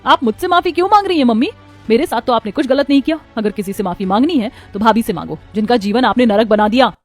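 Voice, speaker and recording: A woman's voice: a little high, lively intonation, quick pace, faint music behind. A woman playing a wronged, principled young married daughter in a cartoon family drama, plaintive and earnest. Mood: reproachful.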